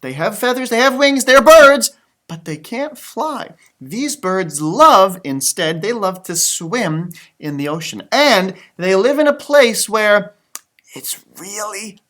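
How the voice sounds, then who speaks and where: A man with a lively, swooping delivery hosts a children's storybook read-aloud. His voice sits fairly high for a man, and he talks like a teacher, exaggerating and repeating phrases for young listeners. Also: slow pace.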